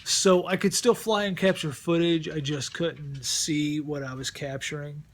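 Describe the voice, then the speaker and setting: A man's voice: fairly high pitch, fairly even intonation, unhurried, heavy background noise. A middle-aged man talking casually at home for his vlog, rambling and often repeating words as he restarts sentences. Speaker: vlogger. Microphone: close mic.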